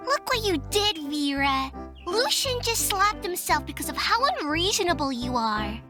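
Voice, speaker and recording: A woman playing an excitable, mischievous fifteen-year-old girl in an audio drama, bubbly and quick to pout. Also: very high-pitched, music in the background. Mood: bratty. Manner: bubbly, pouty, quick to bicker.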